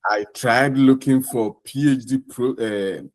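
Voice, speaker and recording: A man with a Ghanaian accent answering interview questions off the cuff, in deliberate, halting and emphatic phrases. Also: medium pitch, no room sound. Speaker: interview guest, scholarship winner.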